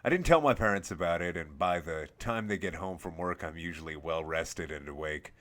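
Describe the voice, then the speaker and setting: A man's voice: sits low, fairly quick, fairly bright tone. A man reading a scripted first-person horror story as the host of a scary story series.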